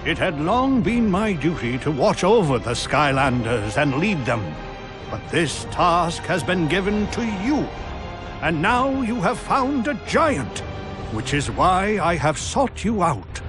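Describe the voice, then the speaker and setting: An actor playing a wise old wizard mentor, narrating a fantasy game in a grand, solemn storytelling manner. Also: male voice, a little high, very animated, slow, music in the background.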